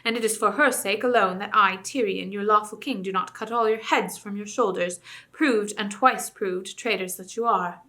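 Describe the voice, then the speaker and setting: A woman's voice: medium pitch, normal speed, sharp tone, lightly acted. A woman voicing a grave, courtly young king. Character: noble, stern young male king. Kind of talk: audiobook character reading.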